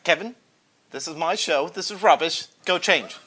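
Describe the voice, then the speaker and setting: Man, co-host, goofy mock British-Australian accent, slang, high voice, tense bright tone. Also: normal speed, slight background noise. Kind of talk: joking banter.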